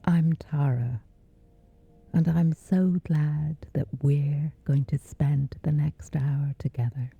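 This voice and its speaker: A woman speaking softly in a whispery, breathy voice, gently and soothingly guiding a sleep meditation with long, measured pauses. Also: low voice, steady tone, no room sound. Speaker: guided meditation host. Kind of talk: slow guided sleep meditation. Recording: dull muffled audio under music. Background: music.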